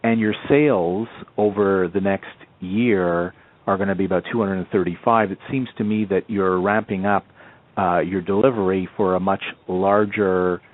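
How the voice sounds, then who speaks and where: A man's voice: low voice, fairly even intonation, normal speed. A man asking questions as an analyst on a conference call, speaking hesitantly and circling back to rephrase, over a muffled phone line.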